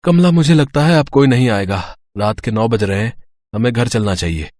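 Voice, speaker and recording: A man's voice: mid-range voice, moderate pace, a little mellow, dry recording, natural voice. Poor, kind-hearted eatery owner for a cartoon moral tale, male actor; earnest, plaintive, dry recording. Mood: resigned. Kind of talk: dramatised moral story acting.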